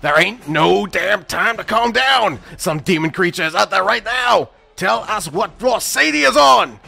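Hot-headed young pony hero played by a man for horror story: bright, gravelly, forceful, faint music behind. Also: sits high, lively intonation, measured pace, full character voice. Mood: angry. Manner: urgent, forceful. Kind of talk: horror audio story acting.

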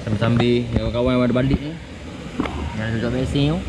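A man's voice: mid-range voice, steady tone, rapid-fire. A man with a Malaysian accent in a casual outdoor vlog, bantering and calling out directions to his friends. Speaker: vlogger filming a camping trip with friends. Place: outdoors in a forest by a stream. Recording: noisy outdoor action-camera sound, vehicle noise.

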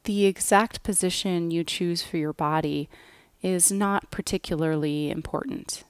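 A woman leads a guided relaxation at a very slow, unhurried pace. Her voice sits on the low side and her phrases end softly. The sound is close and dry, with very little room around it. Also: smooth, dry recording. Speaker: yoga nidra guide. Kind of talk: guided relaxation meditation, scripted.

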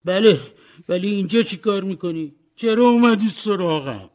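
A man voicing a frightened old miser in a told story, pleading in a high, strained voice. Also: expressive, a little mellow. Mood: startled. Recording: reverberant room.

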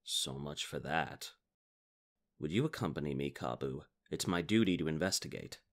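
A man's voice: very deep voice, expressive, normal speed. A man voicing a stern, formal male ant knight in a fan dub of a video game.

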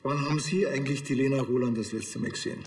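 A man's voice: medium pitch, fast talker, echoey room, a little hiss or hum, natural voice. An actor playing a wry small-town policeman in a crime comedy, with a Bavarian accent and dry sarcasm. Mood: probing. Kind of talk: crime comedy drama dialogue.

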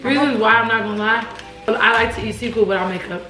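A woman's voice: fairly high pitch, fast, pushed and bright, echoey room, soft background music. A young woman hosting an eating video from her home kitchen, chatting casually, loose and giggly, swearing freely. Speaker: mukbang host. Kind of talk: casual chat while eating.

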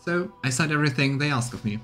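A man's voice: on the high side, lively intonation, fast talker, fairly bright tone, soft background music, pitched above the performer's own voice. A man voicing a bitter, defeated male assassin from a fantasy game, confessing his past resentfully.

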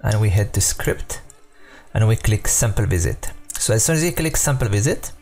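Mellow-voiced man explaining software casually. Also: lowish voice, lively intonation, moderate pace, soft background music. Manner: loose and informal, many fillers and self-corrections. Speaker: software tutorial presenter. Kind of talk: casual screen-recorded tutorial.

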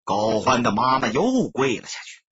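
A man's voice: mid-range voice, big pitch swings, moderate pace, a bit bright, highs cut off. A man narrating an audiobook as dramatic scripted storytelling.

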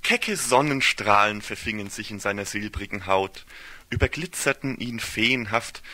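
Bright-voiced man narrating, music behind. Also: mid-range voice. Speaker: narrator of an audio drama. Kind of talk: scripted literary storytelling narration.

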